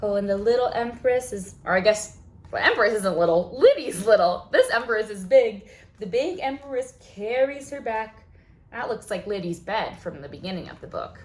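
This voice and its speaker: A woman's voice: mid-range voice, animated, moderate pace. A woman hosting storytime for young children, reading aloud and talking in an exaggerated, sing-song way. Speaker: children's librarian, storytime host. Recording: echoey room.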